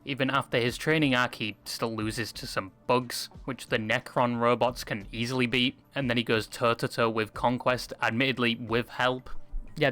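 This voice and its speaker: Bright male review narrator over music. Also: medium pitch. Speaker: video essayist.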